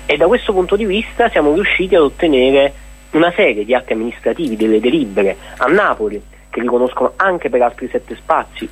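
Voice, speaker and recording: A man speaks as an activist guest in a radio interview. His voice sits at an ordinary male pitch with a husky edge. He explains things earnestly and at length, in spontaneous speech. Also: rough recording. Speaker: activist guest presenting an event.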